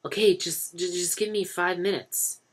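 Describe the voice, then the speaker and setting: A woman's voice: on the low side, fairly even intonation, moderate pace, voice pushed up, close to an everyday voice. A woman playing a scared, pleading young man. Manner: stammering, pleading, tearful.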